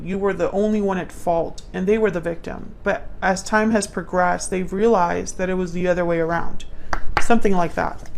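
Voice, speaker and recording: A woman's voice: fairly low pitch, creaky, slight background noise. A woman doing a casual, intuitive tarot reading, thinking aloud as she talks directly to the listener. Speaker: tarot reader, channel host.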